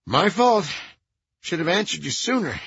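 A male audiobook reader plays a middle-aged male caretaker who is rattled and eager to please. His voice sits high and pinched in the nose, with a bright, strained edge. He pleads and apologizes nervously. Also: big pitch swings, measured pace, gravelly, slightly rough, no echo, lo-fi sound, full character voice. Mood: shaken, relieved. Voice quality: high-pitched, nasal. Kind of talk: audiobook character voice.

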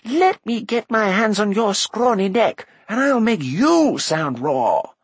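A man's voice: on the high side, very animated, measured pace, fairly bright tone, breathy edge, dry recording, voice pushed up, exaggerated voice. A man playing a flamboyant, scornful bard in a fantasy audiobook, blustering in wordy theatrical phrases. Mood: indignant fury. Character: indignant flamboyant male bard, wordy and dramatic.

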